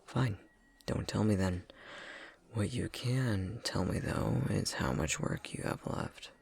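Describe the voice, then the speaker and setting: A man's voice: on the low side, slow pace, vocal fry, a little mellow. A man playing a prickly, grudgingly caring elf academic in an ASMR roleplay, with a curt, haughty, exasperated delivery that often drops into whispers. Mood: dry, grudgingly kind.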